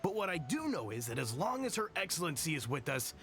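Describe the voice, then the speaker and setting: An actor playing a friendly, earnest soldier in a video game, hearty and deferential. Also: male voice, a little high, sing-song, quick pace, music in the background. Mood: earnest, reflective. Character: friendly, earnest soldier eager to serve his captain.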